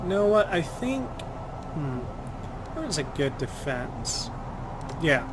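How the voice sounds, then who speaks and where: A young man, slightly hoarse, giving loose casual commentary over his gameplay, with some swearing. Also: fairly high pitch, sing-song, takes it slowly, background noise. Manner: loose, profane trash talk at enemies. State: slightly hoarse, itchy throat. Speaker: gaming channel host.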